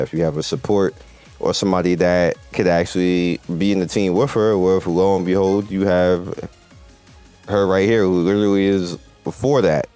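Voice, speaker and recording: A man's voice: low voice, darkish tone. A man giving casual, rambling video game commentary in a conversational way. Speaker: gaming video host. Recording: game music playing behind the voice. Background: video game music.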